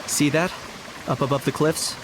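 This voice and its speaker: A man voicing a calm, cautious male teammate in a video game, speaking in a steady, measured way. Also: on the high side, heavy background noise, lightly acted. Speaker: game character.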